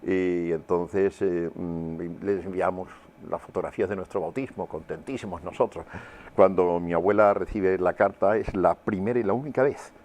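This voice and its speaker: An older man with a low, deep voice. He shares personal testimony as an interview guest, pausing and searching for words as he reflects on his memories. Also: a little mellow. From Huelva, Andalusia, Spain.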